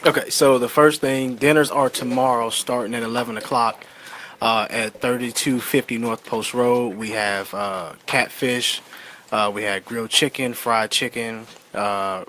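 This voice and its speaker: Guy plugging an event, casual, really bright punchy voice. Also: medium pitch, fairly even intonation, measured pace, a little hiss or hum. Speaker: stage play producer, guest. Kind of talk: casual promotional announcement.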